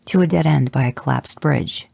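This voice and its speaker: A reader voicing a calm grown man in an audio story, giving directions in a level, matter-of-fact way. The voice is dark and a little gravelly. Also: medium pitch, normal speed, no room sound.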